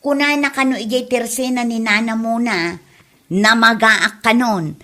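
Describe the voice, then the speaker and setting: A nosy village gossip, played by a woman, talks in a fairly high voice with an Ilocano accent. She is chatty and insinuating. Exclamations pepper her comedy drama delivery. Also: unhurried, bright, forceful tone. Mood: gossipy.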